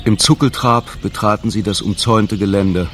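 Fairly low-voiced male narrator. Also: steady tone, normal speed, background noise. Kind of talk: literary narration in a radio drama.

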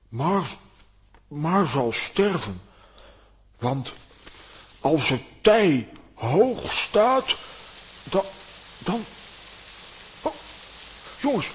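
A man playing an excitable, grumbling, bumbling comic hero in a children's audio play, theatrical and blustering. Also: a little high, a little hiss or hum, full character voice. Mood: hesitant.

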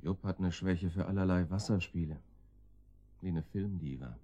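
Deep, laconic gangster guard. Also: male voice, normal speed, dark tone, highs cut off. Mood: matter-of-fact. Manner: laconic, dry, gruffly commanding. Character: laconic, dryly ironic gangster guarding a captive. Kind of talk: German radio drama acting.

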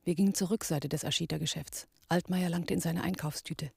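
An actress playing a cool, observant woman who narrates a crime radio drama in a slightly husky voice. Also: lowish voice, steady tone, a little fast, a little mellow, slight background noise. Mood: composed, matter-of-fact. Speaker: narrator and character in a radio play. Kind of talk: scripted first-person narration, 1980s radio drama.

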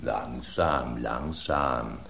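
An actor playing a gossiping loaf of bread that spreads dramatic kitchen rumours in a radio play. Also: male voice, very deep voice, extremely slow, vocal fry, fairly bright tone, reverberant, heavily acted. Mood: gossipy.

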